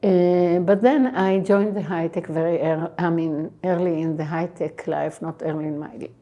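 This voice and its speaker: A woman in her sixties from Israel with an Israeli accent, answering interview questions slowly and haltingly, with many fillers. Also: fairly low pitch, fairly even intonation, mellow, lo-fi sound. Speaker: guest, tech entrepreneur.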